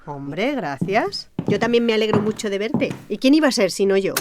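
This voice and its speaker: An actress playing a teasing, sardonic and chatty neighbour in a conversational audio drama. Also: female voice, medium pitch, very animated, normal speed, lightly acted.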